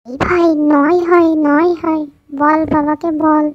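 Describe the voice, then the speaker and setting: A very high, childlike little girl, acted by a woman, talks like an earnest know-it-all big sister in a puppet story. She takes her words slowly. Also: level tone, muffled recording. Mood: urging. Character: bright little village girl, scolding older sister.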